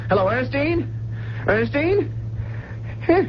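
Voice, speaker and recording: A man's voice: extremely high pitch, very animated, measured pace, exaggerated voice. A man acting in a 1970s radio drama as a meek, bookish fugitive professor, polite, hesitant and stammering. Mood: agitated, insistent. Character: meek, bookish professor on the run, fragile and unraveling. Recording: muffled, noisy, humming vintage radio recording.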